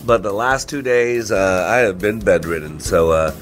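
A man's voice: fairly low pitch, moderate pace, soft background music. A man with a congested voice hosting a radio show, talking informally and motivationally with frequent rhetorical questions. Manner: asks rhetorical questions, frequent "you know" and "right?". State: congested with a cold or allergies. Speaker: radio show host and trainer.

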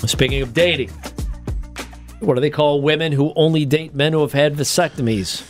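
A man's voice: a little high, moderate pace, soft background music. A man co-hosting a casual comedy podcast, reading out tips and facts with wry, offhand asides and casual swearing.

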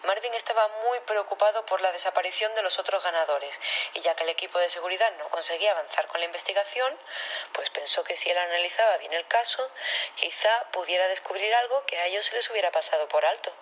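A woman's voice: fairly low pitch, fast, highs cut off, slight background noise, natural voice. An actress playing a loyal, earnest woman witness in an audio drama, insistent and repeating her words as she defends her partner. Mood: earnest, defensive.

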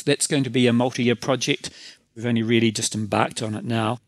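A man with a New Zealand accent giving deliberate, methodical interview answers about the organisation he leads, laying out his points in series. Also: mid-range voice, no room sound. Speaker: college council leader.

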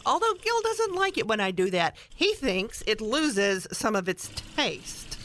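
A woman's voice: a little high, sing-song, unhurried, a bit bright. A woman playing a bossy, teasing older grandmother in a radio play, dryly exasperated with her husband and scolding in a no-nonsense way. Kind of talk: small-town radio play acting.

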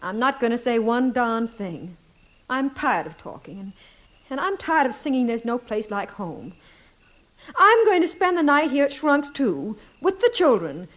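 A woman's voice: a little high, very animated, a little slow. An actress playing an exasperated, weary wife and mother with an American accent, pleading in 1950s radio comedy style. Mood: fed up.

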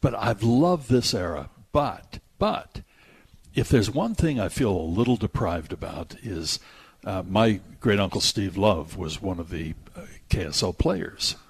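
An older man hosts a casual talk radio show. His voice is deep and somewhat raspy, and he wanders from one anecdote to another. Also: expressive, measured pace. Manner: rambling, anecdotal. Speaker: radio host.

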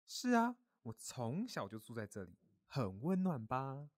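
A man voicing a kindly grown-up mole in a children's audio story, gentle, caring and reassuring. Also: medium pitch, wildly swooping pitch, measured pace, no room sound, clean studio sound. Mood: hospitable.

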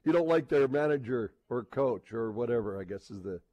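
A man hosting a casual sports radio talk show, speaking in a halting, repetitive way and often restarting his sentences. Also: mid-range voice, animated, warm tone, dry recording, lo-fi sound.

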